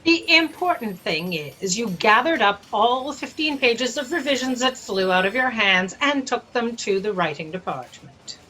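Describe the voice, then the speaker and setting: A woman playing a curt, demanding editor-in-chief in a comic sci-fi audio drama, brisk and commanding. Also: on the high side, animated, sharp tone, a little hiss or hum, poor audio.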